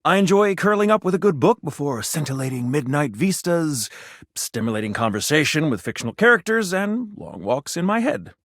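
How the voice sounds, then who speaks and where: Game casting: flowery, self-important man of letters, higher-pitched, lively intonation, close dry sound. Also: brisk, no echo. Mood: haughty, witty. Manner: pompous, ornate diction. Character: pretentious, flowery, intellectual male writer and dandy. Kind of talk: video game character dialogue.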